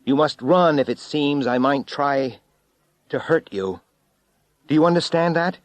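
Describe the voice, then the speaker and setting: A man's voice: a little high, measured pace, no echo, pitched above the performer's own voice, close to an everyday voice. Man playing a desperate, tormented ex-astronaut. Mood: urgent pleading. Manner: dramatic, urgent, straining. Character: tormented ex-astronaut, urgent, desperate, warning his friend.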